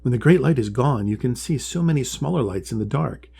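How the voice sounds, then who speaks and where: A man's voice: medium pitch, expressive, fairly quick, vocal fry, mellow, breathy edge, voice pushed up. A man voicing a curious, earnest young female horseshoe crab in a storybook, full of polite questions. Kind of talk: storybook character voice.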